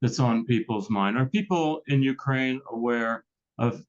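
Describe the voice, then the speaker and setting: A man's voice: medium pitch, unhurried. Earnest male moderator, muffled sound. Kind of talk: moderating a club talk.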